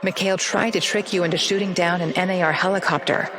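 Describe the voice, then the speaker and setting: An actress playing a calm, enigmatic woman narrating a video game in a cool, measured, mysterious way. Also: fairly low pitch, background noise, natural voice. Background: music.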